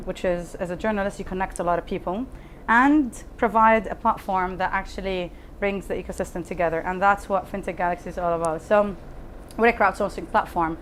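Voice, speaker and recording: Woman telling her story, faint noise. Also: medium pitch. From Lebanon. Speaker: panel guest, fintech founder. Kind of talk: personal storytelling on a panel. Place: live talk event before an audience. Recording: faint background noise, live event room.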